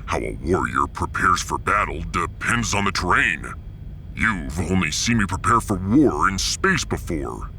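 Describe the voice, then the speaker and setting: A man's voice: low voice, sing-song, a little slow, creaky, pushed and bright, a little hiss or hum, pitched below the performer's own voice, heavily acted. A man voicing a big, proud, solemn alien-world warrior with grandiose, ceremonious speech in a science fiction audiodrama.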